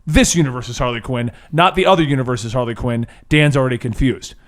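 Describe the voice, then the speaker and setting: A man's voice: medium pitch, a little fast. A man acting as game master, narrating a scripted superhero campaign recap with casual banter.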